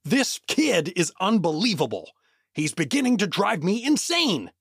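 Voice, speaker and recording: Man as a husky, animated, flustered adventurer. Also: sits high, measured pace, no room sound, studio quality, full character voice. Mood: exasperated. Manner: folksy, flustered. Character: hapless, excitable, polite male everyman adventurer. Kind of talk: fantasy audio drama acting.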